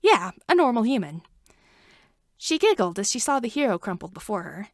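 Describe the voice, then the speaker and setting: A woman reading a fan fiction chapter aloud with expressive storytelling and shifting emphasis. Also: fairly high pitch, moderate pace, no room sound, studio quality. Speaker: podfic reader.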